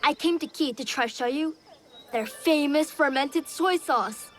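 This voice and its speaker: Eager, high-voiced youngster. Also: female voice, measured pace, a bit bright, faint music behind. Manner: eager, chatty, earnest. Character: eager, earnest youngster wanting to please a mentor. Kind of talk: video game cutscene acting.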